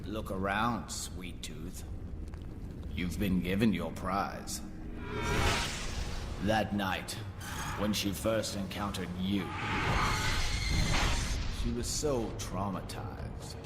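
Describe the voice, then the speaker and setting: A menacing game-show overlord of a deadly video game contest. His voice sits fairly low and he takes his time, theatrical and taunting with measured menace. Loud music plays under him. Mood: quiet cruelty. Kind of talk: scripted video game narration.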